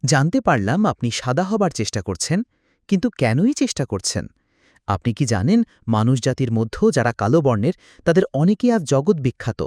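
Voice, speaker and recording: Man as a mellow, lively young lamb. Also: mid-range voice, normal speed, no echo, studio quality. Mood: questioning. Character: clever young male lamb, confident persuader.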